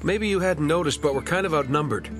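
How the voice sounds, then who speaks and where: A man's voice: fairly high pitch, fast talker, close to an everyday voice. An actor playing a wry, sarcastic American treasure hunter in his thirties in a video game, speaking casually. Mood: weary, firm. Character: wry, weary male treasure-hunting adventurer in his thirties. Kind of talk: video game acting, naturalistic dialogue. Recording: loud game music behind.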